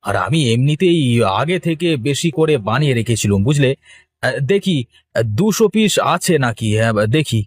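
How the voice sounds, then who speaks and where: A man playing a scheming, jealous village potter with a sly, needling way of talking, in an animated moral story. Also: on the high side, normal speed, dry recording, studio quality. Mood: falsely sympathetic. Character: scheming, jealous male village potter, falsely sympathetic rival.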